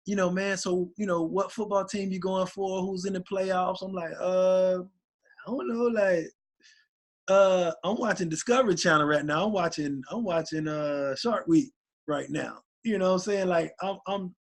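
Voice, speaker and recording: A man, a rapper guest, talking casually and excitedly in an interview, rambling with many restarts. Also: high-pitched. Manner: animated, rambling, voice gets raspy when excited.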